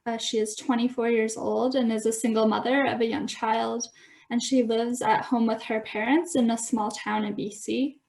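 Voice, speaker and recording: A 25-year-old woman from Alberta presents a project, measured and explanatory. Her voice is on the high side, with plenty of vocal fry. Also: level tone, darkish tone. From Alberta, Canada. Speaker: student presenter.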